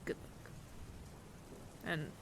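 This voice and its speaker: A man playing a weary, remorseful bounty hunter in an audio roleplay, speaking in hushed, breathy, deliberate phrases with heavy pauses. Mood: resolute, gentle. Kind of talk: dramatic ASMR audio roleplay monologue. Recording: clear rain noise behind the voice. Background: rain.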